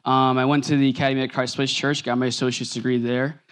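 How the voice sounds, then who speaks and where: A man's voice: medium pitch, flat delivery, quick pace, a bit bright, clear, resonant tone. A man in his twenties preaching in an earnest, informal way, with fillers and self-corrections as he reads scripture. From Nebraska. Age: 20s. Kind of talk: sermon.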